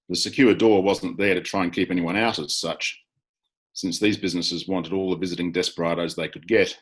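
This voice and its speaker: A man's voice: low voice, husky. An Australian man, a crime author, reading his own hard-boiled first-person story over a video call.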